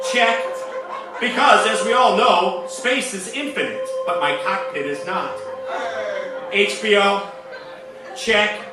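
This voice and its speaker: Hammy high-voiced space captain, played by a man. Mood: self-important. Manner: hammy, deliberate. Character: bumbling, bombastic male space-captain hero of a parody radio serial. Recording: echoey stage, distant mic, music behind.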